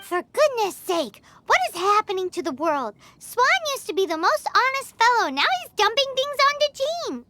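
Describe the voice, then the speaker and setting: Tiny squeaky game sidekick, cheeky n indignant, super high and bright. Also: female voice, big pitch swings, moderate pace, hardly any pauses, full character voice. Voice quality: squeaky, childlike. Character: tiny cheeky childlike companion, indignant and boastful. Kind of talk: video game voice acting.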